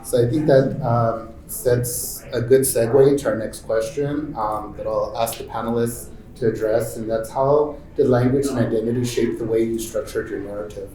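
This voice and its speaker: A man moderating a conference roundtable speaks in a middling voice, loose and halting. He keeps filling gaps with "um" and "what is it". The room around him is noticeably echoey. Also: medium pitch, fairly even intonation, mellow. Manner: halting, full of fillers like "um" and "what is it". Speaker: moderator and panelist. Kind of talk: casual conference talk.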